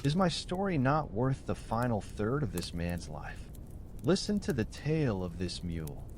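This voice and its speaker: Man reading as earnest old storyteller, lively pitch. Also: moderate pace, a little hiss or hum, close to an everyday voice. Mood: pleading, formal. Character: old man telling his mule-wife tale, wronged, earnest.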